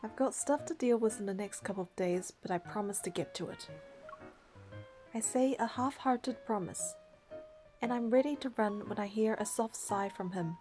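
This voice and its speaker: A woman's voice: medium pitch, normal speed, mellow, soft background music. A woman reading game narration and dialogue aloud, with casual commentary of her own.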